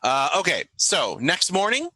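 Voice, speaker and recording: A man runs a tabletop game as game master, feeling his way through informal, halting narration. His voice is very bright and forward, with lively swings in pitch, recorded close and dry. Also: no room sound.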